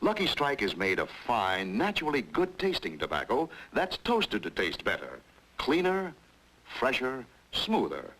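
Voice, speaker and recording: An American man reading a 1950s advertisement as an announcer, with a polished, measured sales pitch. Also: huge pitch swings, a bit bright, low-quality recording. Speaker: commercial announcer. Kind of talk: 1950s cigarette advertisement narration.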